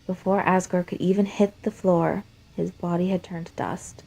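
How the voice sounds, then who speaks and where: A woman's voice: lowish voice, flat delivery, normal speed, gravelly, dark tone, breathy edge, poor audio. A woman reading and chatting as an amateur fan fiction writer and narrator. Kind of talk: scripted story narration and casual chat.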